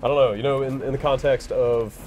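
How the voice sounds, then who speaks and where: A man's voice: mid-range voice, fairly even intonation, fairly quick, a little mellow, soft background music. A man co-hosting a video game show, curious and reactive in casual gameplay commentary. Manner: curious, asking questions, reacting to the action.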